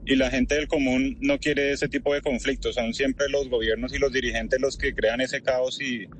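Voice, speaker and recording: Colombian man in his thirties, phone interview from a car, measured, filler-heavy, faint noise behind. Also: mid-range voice, fairly even intonation. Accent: Colombian Spanish, lightly worn. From Colombia (Barranquilla). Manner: thoughtful, many fillers like "pues" and "o sea". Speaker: interviewee, translator in Ukraine. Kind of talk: phone interview while driving.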